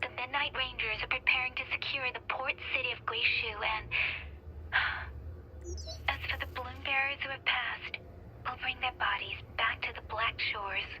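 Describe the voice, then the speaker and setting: Caring young female comrade, game dialogue, very bright tone, encouraging, faint noise. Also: on the high side, fairly even intonation, measured pace, rough recording. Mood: earnest, caring. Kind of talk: animated game character acting.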